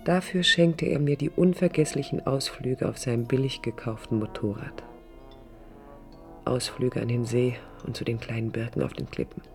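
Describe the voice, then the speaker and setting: A woman's voice: rumbling low, steady tone, moderate pace, darkish tone. An actress playing a passionate, searching young woman in a radio play, reflective and intimate, sometimes close to a whisper. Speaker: radio drama actress, narrator and lead. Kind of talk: 1980s German radio play acting, intimate monologue. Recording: music under a studio radio recording.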